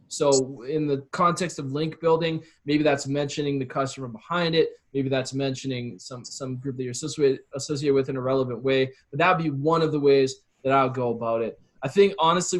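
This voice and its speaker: A man giving advice as an interview guest, explaining his approach casually and conversationally. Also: mid-range voice. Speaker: interview guest, copywriting expert.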